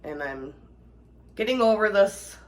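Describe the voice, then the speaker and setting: Slow, congested woman hosting a cooking lesson. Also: mid-range voice, reverberant, poor audio. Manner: relaxed, chatty, laughs easily. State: congested from a cold. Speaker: home canning channel host. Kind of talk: casual cooking tutorial.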